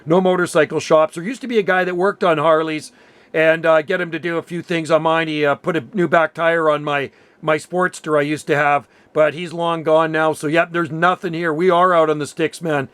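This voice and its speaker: Clear, higher-pitched Canadian man chatting on radio. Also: little pitch movement, moderate pace, a bit bright, no room sound. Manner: chatty, informal, says "man" often. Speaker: amateur radio operator. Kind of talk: casual radio chat.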